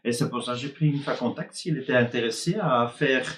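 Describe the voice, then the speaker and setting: A man whose first language is Dutch, speaking with a Flemish accent as a hypnotist in a casual live conversation, pausing and restarting often. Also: mid-range voice, fairly bright tone, reverberant, slight background noise. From Flanders, Belgium. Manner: hesitant, many pauses and restarts.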